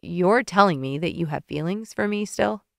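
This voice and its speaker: An easygoing, puzzled young man, as a woman reader puts him on, dropped lower and softer than the telling voice. the sound is close and dry, no echo. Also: normal speed, vocal fry.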